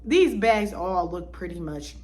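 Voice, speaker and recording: A woman's voice: fairly low pitch, lively intonation, a little slow, darkish tone. A woman, giving her opinions in a casual vlog and talking in a loose, chatty way with many fillers and restarts. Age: 20s. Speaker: vlogger.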